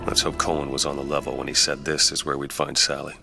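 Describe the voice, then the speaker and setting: An actor playing a gruff, world-weary private detective in a noir video game. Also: male voice, rumbling low, level tone, fast, over music. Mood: guarded, weary.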